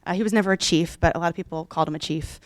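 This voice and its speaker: A woman in her thirties from Oklahoma, speaking as a guest lecturer at a podium microphone in a lecture room, warm and personal with frequent restarts. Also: on the low side, rapid-fire, no echo. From Oklahoma, United States. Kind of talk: public lecture. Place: lecture room with a painting behind.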